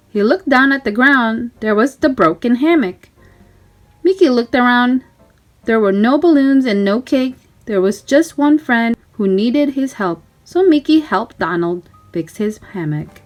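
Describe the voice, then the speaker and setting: Lady reading a kids picture book out loud, high pitched n super animated. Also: measured pace, soft background music. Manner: animated, emphatic, child-directed storytelling. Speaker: storybook reader. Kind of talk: expressive children's read-aloud narration.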